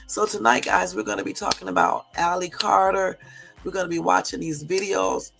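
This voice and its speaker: A woman hosting a casual livestream, hoarse from a cold, chatty and often checking in with her listeners. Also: fairly low pitch, expressive, normal speed, over music. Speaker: livestream host.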